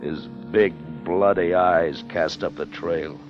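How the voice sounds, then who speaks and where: An actor in a 1950s radio drama playing a hard, greedy ship's officer, commanding, with old-fashioned seafaring speech. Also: male voice, extremely low pitch, slow, dull audio. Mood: brooding, scornful. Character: hard, scheming, greedy sailing-ship officer leading a treasure hunt. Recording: 1950 radio broadcast with music underneath.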